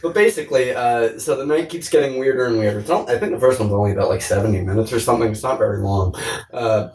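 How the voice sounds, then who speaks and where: A man with a mid-pitched voice sits back from the microphone in an echoey room. He co-hosts a podcast in a loose, rambling way. His talk is casual, comedic and peppered with profanity. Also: fast talker, a little mellow. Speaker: podcast co-host. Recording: roomy, distant microphone.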